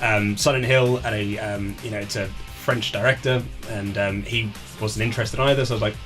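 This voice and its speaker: A man's voice: lowish voice, steady tone, fairly quick, a bit bright, soft background music. A man being interviewed as an author, chatting casually and telling stories in a chatty way, often acting out conversations. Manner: chatty storyteller who acts out quoted conversations. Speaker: author being interviewed about his book. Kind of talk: casual chat, animated anecdotes.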